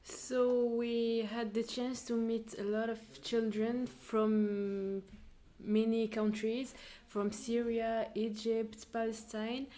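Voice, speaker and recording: Young woman, interviewee, hesitant, slow pace, flat intonation, reflective answers. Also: fairly high pitch. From France. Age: young adult. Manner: hesitant, searching for words. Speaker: student volunteer interviewee.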